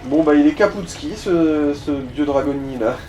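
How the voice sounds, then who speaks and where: Mellow, crude gamer guy. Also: fairly high pitch, normal speed, slight background noise. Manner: crude, slangy, swearing. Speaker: gaming co-commentator guiding the player. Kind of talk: casual let's play commentary.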